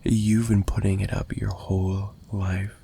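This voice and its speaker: A man's voice: sits low, flat delivery, unhurried, gravelly, dark tone, slightly rough, heavily acted. A man playing an obsessive, menacing mafia kidnapper in an ASMR roleplay, possessive and teasing, with a taunting delivery that swings between murmurs and giggles. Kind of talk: dramatic ASMR roleplay monologue.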